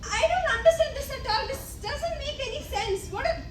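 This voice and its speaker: A very high-pitched, flustered and dismissive school principal with an Indian accent, acted by a young woman on stage. She exclaims incredulously in amateur comedy style. The recording is echoey and noisy, caught from far off in a hall. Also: expressive, moderate pace. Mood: indignant. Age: young adult. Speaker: student actor in a college play. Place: live college stage, picked up from afar.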